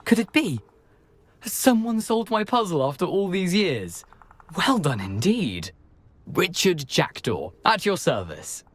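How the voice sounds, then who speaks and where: A man playing a jaunty, wry gentleman ghost in a video game, with a flamboyant and courteous manner. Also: high-pitched, sing-song, measured pace, full character voice. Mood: delighted, showy.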